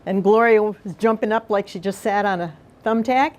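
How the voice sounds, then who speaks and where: A woman's voice: mid-range voice, moderate pace. A woman reads a picture book to a group of young children. She speaks informally and explains as she goes, checking in with simple questions. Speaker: mayor reading aloud to schoolchildren.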